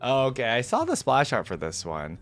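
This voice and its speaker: A man's voice: mid-range voice, wildly swooping pitch, moderate pace, fairly bright tone, no room sound, soft background music. A man hosting a reaction video, giving casual, conversational game commentary and thinking aloud as he goes.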